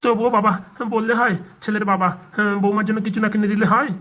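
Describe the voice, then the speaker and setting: A woman's voice: medium pitch, fairly even intonation, fast talker, fairly bright tone, natural voice. A woman playing a humble, worried, penniless village father in a comic cartoon, deferential and plaintive. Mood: humble, hesitant.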